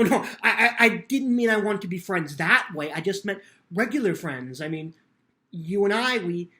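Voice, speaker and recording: A man's voice: a little high, sharp tone. A man playing an earnest, lonely teenage boy who tells his own story in plainspoken, cheeky words. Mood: flustered, pleading. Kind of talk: first-person dramatic book reading.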